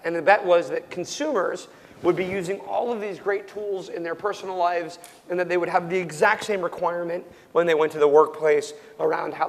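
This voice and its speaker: Hoarse young man talking loudly, voice cracking. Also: on the high side, long reverb tail, slight background noise. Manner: loud, nearly yelling, full of ums and restarts. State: losing his voice, prone to cracking. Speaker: startup founder being interviewed. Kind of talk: interview answers.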